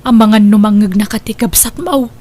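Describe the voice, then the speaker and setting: A woman's voice: mid-range voice, normal speed, darkish tone, lightly acted. A woman with an Ilocano Filipino accent playing a scheming, guilt-ridden mother in an audio drama. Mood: hushed, scheming. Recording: music under the voice.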